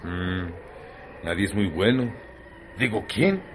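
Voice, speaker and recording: A man's voice: low voice, sing-song, slow pace, a little hiss or hum, exaggerated voice. An actor playing Eleazar, a brooding, restless man who asks insistent questions in a radio drama. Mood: puzzled.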